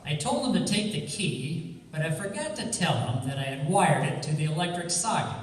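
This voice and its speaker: A man's voice: fairly high pitch, expressive, moderate pace, close to an everyday voice. A man playing a cocky, wisecracking amateur detective in an old-time radio drama, with breezy, sardonic banter. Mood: smug, breezy. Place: college stage radio theatre performance. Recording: echoey hall from far off, faint noise.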